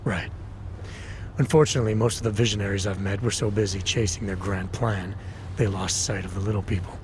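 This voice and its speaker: Game lead, male man actor: lowish pitch, terse, dry, doubtful, guarded questioner. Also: fairly even intonation, brisk, a little hiss or hum, lightly acted. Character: guarded, skeptical, dry-witted male action game hero. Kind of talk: video game dialogue acting.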